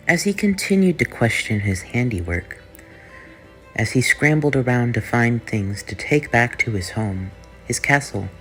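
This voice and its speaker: A man reading his own fan story aloud in a soft, measured way. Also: fairly low pitch, poor audio. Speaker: fan fiction reader.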